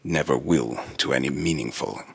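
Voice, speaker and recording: A man voicing a solemn robotic entity, speaking in a portentous, deliberate way with a gravelly, raspy voice. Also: extremely low pitch, no echo, full character voice. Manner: portentous, deliberate, repeats lines to retry them. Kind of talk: voice line recording.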